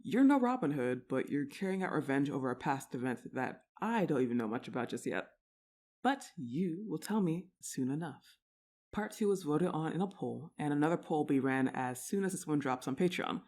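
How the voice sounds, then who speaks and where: A woman's voice: low voice, expressive, normal speed, a little mellow. A woman talks informally to her audience as the creator of audio roleplays. Her delivery is casual and rambling. She comes across as self-deprecating and unscripted. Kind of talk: casual chat to listeners.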